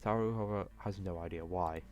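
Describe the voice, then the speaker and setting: Low-voiced man reading a visual novel aloud. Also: a little fast, a little mellow, dull audio, faint music behind. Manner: stumbling over read text, blunt indignant asides. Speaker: let's play host.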